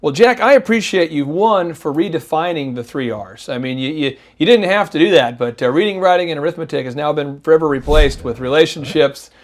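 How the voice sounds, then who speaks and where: A man's voice: a little high, brisk, few pauses. A man hosting a podcast interview, appreciative and enthusiastic. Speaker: podcast host. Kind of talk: interview chat.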